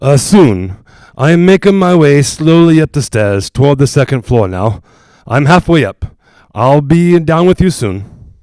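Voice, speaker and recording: Man acting as earnest ghost-hunter doctor, grave, halting, formal, clear voice, dry sound, 1940s radio drama. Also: fairly high pitch, very animated, a little mellow, no echo. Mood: unruffled.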